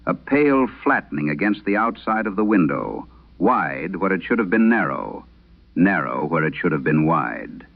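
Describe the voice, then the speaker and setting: A man performing as a late-night radio host, solemnly reading an eerie letter in measured, deliberate phrases through an old radio filter, in a vintage horror drama style. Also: sits low, sing-song, creaky, full character voice. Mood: ominous, tense. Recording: tinny band-limited old radio sound.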